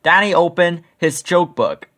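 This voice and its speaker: Animated, higher-pitched man reading a storybook. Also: a little slow. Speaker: children's book narrator. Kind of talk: read-aloud storybook narration.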